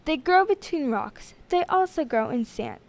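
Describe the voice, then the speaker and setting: A woman's voice: sits high, lively intonation, moderate pace, creaky, a little mellow. A woman voicing a wise, self-assured male school principal who declares and ponders, in a storybook reading. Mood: pondering.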